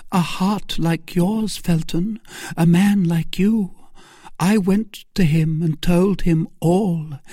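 A man's voice: a little high, warm tone, no echo, pitched above the performer's own voice. A cunning, vengeful noblewoman who pleads with passion, as a man reading a novel aloud puts her on. The voice is lifted well above his own and carries a slight huskiness, set in a dry, close recording. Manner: impassioned, pleading.

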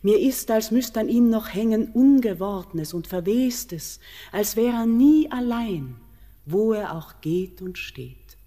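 Dark, echoing mountain queen. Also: fairly high pitch, expressive. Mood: solemn horror, longing. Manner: solemn, measured, otherworldly declamation. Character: ageless, lonely, yearning queen of the mountain. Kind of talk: classic German radio verse drama, declaimed. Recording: long cavernous reverb.

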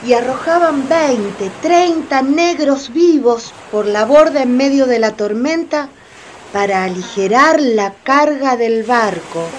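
A woman's voice: high-pitched, expressive, slow, a little hiss or hum, poor audio. A woman giving scripted dramatic narration in a radio play.

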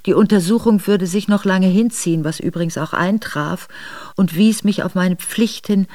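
A woman's voice: fairly low pitch, darkish tone, no echo, natural voice. An actress in a radio drama playing a steadfast aristocratic wife who recounts her memoirs in composed, formal narration. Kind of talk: literary first-person narration in a radio drama. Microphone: close dry studio microphone.

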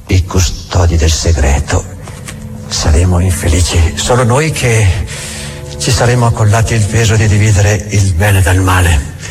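Solemn, proud inquisitor over music. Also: male voice, on the low side. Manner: solemn, deliberate, rhetorical with weighty pauses and repetitions. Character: proud grim old inquisitor, bitterly addressing a silent returned Christ. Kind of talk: theatrical dramatic monologue recitation. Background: music behind the voice.

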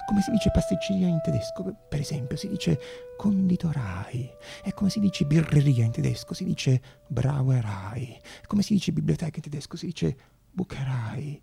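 A man playing an excitable, obsessive young student, muttering to himself and bursting into exclamations in a theatrical monologue. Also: on the high side, creaky. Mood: eager searching.

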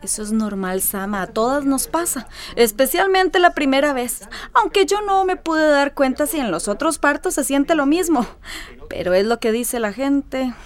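A woman's voice: high voice, expressive, normal speed. An actress playing a kindly, worried mother in a radio soap, with a Costa Rican accent. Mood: reassuring. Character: worried, kindly mother and neighbor giving reassurance. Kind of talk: radio soap opera acting.

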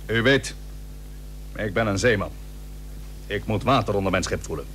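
A man's voice: lowish voice, moderate pace, fairly bright tone, natural voice. An actor playing a stern, proud admiral and cautious seaman in a historical radio play, speaking formally and emphatically. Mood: sober, stubborn. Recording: old radio recording with faint hum.

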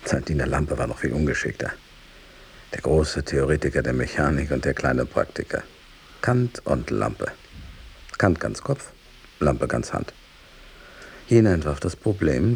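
An actor playing a scholar's devoted associate, narrating his habits in a measured radio drama style. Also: extremely low pitch, gravelly, a little mellow, husky, full character voice.

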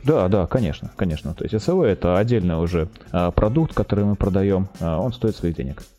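A man explaining products as a guest in a podcast interview, speaking haltingly with drawn-out hesitations. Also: low-pitched, lively intonation, dark tone, soft background music. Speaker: guest, telecom equipment maker's project manager.

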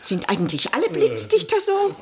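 An actress playing the imperious, callous mistress of a villa in a radio play, with a haughty, mocking, theatrical delivery. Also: female voice, on the high side, wildly swooping pitch, moderate pace, darkish tone, a little hiss or hum. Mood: impatient.

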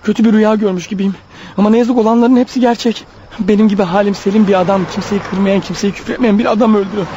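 Radio play lead: nervous former clerk on the run male actor, high and fast, stammering, guilty, agitated. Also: steady tone, warm tone, highs cut off, slight background noise, natural voice. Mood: nervous, muttering. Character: panicky, guilt-ridden former clerk turned accidental killer. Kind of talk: radio theatre acting.

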